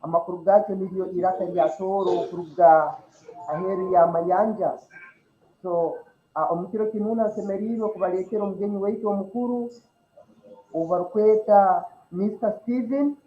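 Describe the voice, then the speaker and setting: A high-voiced Ugandan man hosts a community show, speaking with a Ugandan accent and stumbling now and then over fillers and repeated words. His tone is mellow, and the sound is dull and lo-fi. It echoes around the room. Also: fairly even intonation, a little fast. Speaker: host. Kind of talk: diaspora community broadcast, mixing Rutooro and English.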